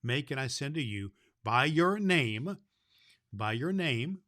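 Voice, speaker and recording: A man playing a kindly old Santa Claus, hosting a children's Christmas show in a folksy, deliberate storyteller's manner. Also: mid-range voice, animated, a bit bright, dry recording, studio quality. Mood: friendly, inviting. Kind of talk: scripted announcements and storybook reading for children.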